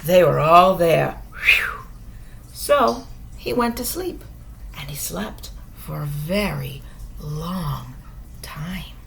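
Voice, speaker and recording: Lady doing storytime for little kids, slow and really expressive, bright clear-cut voice, pauses for drama. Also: sits low, slight background noise. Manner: expressive, asks listeners questions, dramatic pauses. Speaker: children's storyteller and teacher. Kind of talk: animated read-aloud bedtime story for young children.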